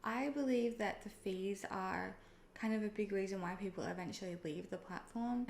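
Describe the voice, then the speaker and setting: A young woman with an Australian accent walks through her topic in a relaxed, chatty vlog style. Her voice has a gravelly, fried edge at a middle pitch. She is recorded in an echoey room with a faint background hiss. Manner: friendly, chatty, with frequent "um" and "personally". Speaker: online seller and video creator. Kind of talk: casual explainer vlog.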